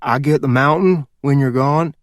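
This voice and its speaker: A man voicing a slow-witted young man from who sells moonshine and answers politely. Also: mid-range voice, dry recording, clean studio sound, natural voice. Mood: dim. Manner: flat, dim, deferential.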